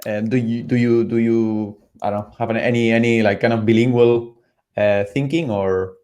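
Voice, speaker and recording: Man host, English, casual, lots of uh and like, middle pitch. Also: fairly even intonation, normal speed, mellow. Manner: loose, filler-heavy questioning. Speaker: podcast host. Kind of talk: casual interview chat.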